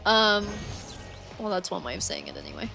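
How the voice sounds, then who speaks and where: A woman's voice: fairly low pitch, huge pitch swings, normal speed, vocal fry. Chatty woman streamer, voice wearing dry. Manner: chatty, rambling, frequent "like" and "um". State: voice getting dry and worn from long talking. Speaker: game streamer. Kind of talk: casual livestream chat while playing. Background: game music.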